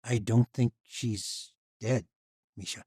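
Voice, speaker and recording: Guy reading as a tired young man, husky mellow voice, halting and pleading, dry sound. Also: steady tone, no echo. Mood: hesitant. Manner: hesitant, low and pleading. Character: weary, haunted young man. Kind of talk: audiobook character voice.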